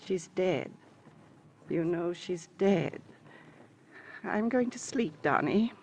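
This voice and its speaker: A woman's voice: lowish voice, expressive, slow, a little mellow. An actress playing a fragile, timid, grieving lady in vintage radio drama, speaking with dramatic, pleading insistence. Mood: brittle, weary. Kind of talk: 1940s-50s radio drama acting. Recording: noisy vintage radio recording.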